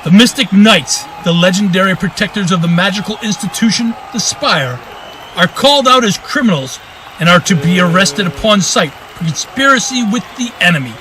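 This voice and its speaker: A man's voice: high-pitched, animated, normal speed, sharp tone, poor audio. An actor playing a commanding, vengeful new king who makes pompous proclamations in a fantasy audio drama. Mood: menacing, accusing. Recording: faint crowd and noise behind. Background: faint crowd ambience.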